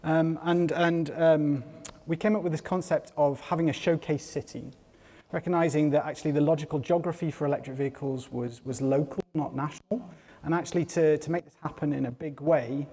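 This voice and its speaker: Mellow-voiced man with a soft Geordie accent. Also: on the high side, steady tone. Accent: Geordie, softened. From North East England. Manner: measured, explanatory, with many ums. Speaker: panel guest, company head. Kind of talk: panel talk. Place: large hall with an audience. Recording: long hall reverb.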